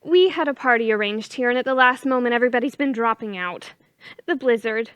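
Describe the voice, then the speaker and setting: An actress playing a scatterbrained young debutante daughter in a stage comedy, flustered and pleading in manner. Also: female voice, fairly high pitch, a little fast, fairly bright tone, clean tone, no echo, close to an everyday voice. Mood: breezy, polite. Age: young adult.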